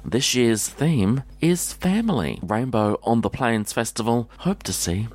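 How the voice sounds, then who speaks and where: A man with an Australian accent hosting breakfast radio in a relaxed, chatty way, his voice a little croaky. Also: mid-range voice, unhurried. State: slightly croaky voice. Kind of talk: casual radio chat.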